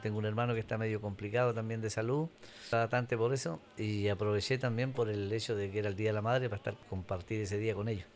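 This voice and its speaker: A man from Chile chatting on the radio in a relaxed way, often answering with short agreements. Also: fairly low pitch, fast. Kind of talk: casual radio chat.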